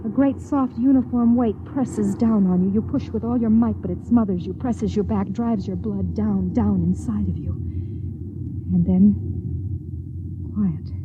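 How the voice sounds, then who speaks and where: An actress playing a lonely, anxious young wife in 1950s radio drama style, dramatic and deliberate. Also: female voice, mid-range voice, noisy background, lightly acted. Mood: tense, fearful. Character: lonely, anxious young wife narrating her own story. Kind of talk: 1950s radio drama, second-person narration. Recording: dull old radio recording.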